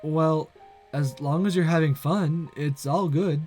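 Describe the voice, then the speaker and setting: A man's voice: a little high, unhurried, a little mellow, faint music behind, close to an everyday voice. A man voicing an easygoing teenage boy in a visual novel, with a mild, reassuring manner.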